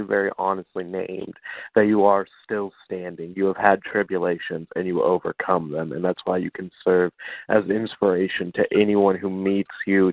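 A man's voice: low voice, creaky, no echo. A man hosting a radio show, speaking supportively in a sincere, slightly formal way. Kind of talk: supportive, measured radio talk. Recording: narrow phone-like radio line.

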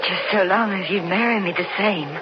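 A woman's voice: mid-range voice, moderate pace, lightly acted. A woman playing a determined young frontier woman in a radio western, with an American accent and a direct, plain-spoken manner. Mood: hopeful, determined. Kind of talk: radio drama acting. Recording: muffled, noisy old radio broadcast.

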